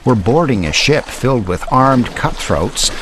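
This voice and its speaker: An actor playing a hapless, wisecracking police sidekick, hesitant and wryly sarcastic, in an old-time radio mystery. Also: male voice, mid-range voice, lively intonation, unhurried, natural voice. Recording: noisy old radio drama recording.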